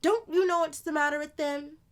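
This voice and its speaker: A woman voicing a playful, knowing older sister who tells fanciful tales in an animated, confiding way for a children's storybook. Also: high-pitched, moderate pace, voice pushed up, lightly acted. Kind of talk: children's storybook read-aloud.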